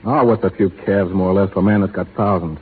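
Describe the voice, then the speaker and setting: An actor playing a reckless, joking young cowboy turned rustler in a 1930s radio drama, tinny old broadcast sound. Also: male voice, low-pitched, steady tone, fast, slight background noise, close to an everyday voice. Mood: flippant. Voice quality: flippant, easygoing.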